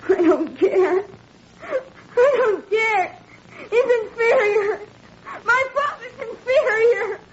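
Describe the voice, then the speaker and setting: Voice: very high-pitched, animated, slow, long pauses, exaggerated voice. A performer playing a frightened, fragile young boy in a 1940s radio drama. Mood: tearful. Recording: muffled, hissy 1940s radio recording.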